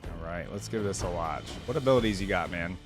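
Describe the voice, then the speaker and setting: A man's voice: deep voice, animated, brisk, soft background music. A man hosting a reaction video, giving casual commentary and rambling as he thinks aloud.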